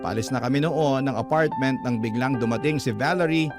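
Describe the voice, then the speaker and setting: A man's voice: medium pitch, a little fast. A man with a Filipino accent narrating a first-person letter in dramatic storytelling style. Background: prominent music.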